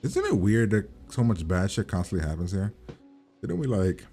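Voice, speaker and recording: A man's voice: fairly low pitch, very animated, normal speed, dark tone, slightly rough, faint music behind. A man hosting a reaction video in a casual, blunt way, swearing now and then.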